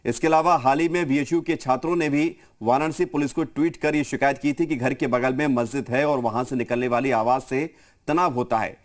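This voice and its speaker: A man's voice: medium pitch, fairly quick. A man anchoring live television news and putting questions to guests.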